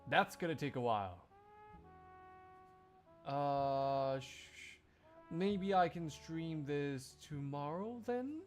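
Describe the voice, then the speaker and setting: A man's voice: on the high side, expressive, takes it slowly, long pauses, soft background music. A man livestreaming, chatting casually to his viewers in a jokey, rambling, exclamatory way. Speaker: live streamer.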